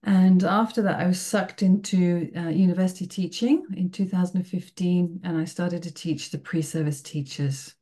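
A woman's voice: on the low side, fairly even intonation, moderate pace, few pauses, mellow. A middle-aged woman from the United Kingdom with a British accent, giving an online workshop to teachers in a friendly, encouraging way. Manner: friendly, encouraging, checks in with "okay?" often. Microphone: video call.

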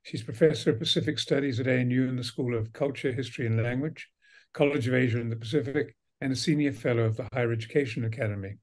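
A man's voice: mid-range voice, quick pace, a little mellow. Elderly man, formal courteous host. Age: 70s or older. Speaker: host, institute director. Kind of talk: formal introduction and interviewing. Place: indoor book-lined study, video call.

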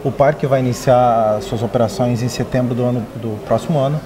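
A man's voice: medium pitch, fairly even intonation, a little fast, crowd noise. A man in his thirties, a newspaper editor, explaining a story in a live interview with frequent hesitations. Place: open-plan newsroom.

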